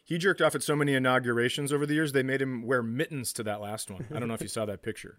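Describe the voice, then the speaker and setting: A man's voice: medium pitch, steady tone, quick pace, a bit bright, no echo. A man co-hosting a comedy podcast in casual banter, with wry, profane riffs.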